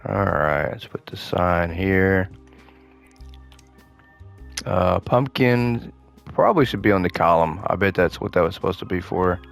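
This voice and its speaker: A man giving casual gameplay commentary, thinking aloud to himself as he plays, his voice slightly hoarse. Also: low voice, a little slow, long pauses, soft background music. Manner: relaxed thinking aloud, muttering to himself while playing. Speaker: gaming host playing a renovation game.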